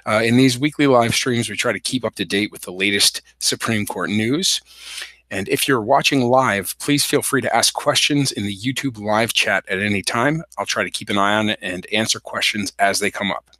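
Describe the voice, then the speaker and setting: A man hosting a solo legal explainer livestream, hoarse from a cold, talking with many fillers and restarts. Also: lowish voice, moderate pace, sharp tone, no room sound. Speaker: podcast host.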